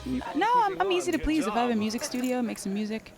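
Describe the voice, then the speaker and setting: A young woman chatting as a guest on a poker webcast, bubbly and quick to giggle. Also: sits high, big pitch swings, music in the background. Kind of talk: casual chat.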